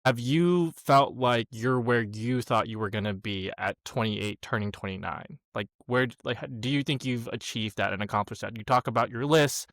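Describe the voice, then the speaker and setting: A man's voice: medium pitch, a bit bright, no room sound. A man in his mid twenties hosting a podcast, talking casually and candidly in informal, loosely phrased questions and asides. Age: mid 20s. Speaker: podcast host.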